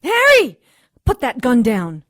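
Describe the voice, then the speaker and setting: An actress playing an earnest, anxious doctor in an audio drama, polite but insistent. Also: female voice, high-pitched, huge pitch swings, takes it slowly, a bit bright, no room sound, studio quality, exaggerated voice. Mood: alarmed, distressed. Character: earnest, anxious young female doctor seeking help.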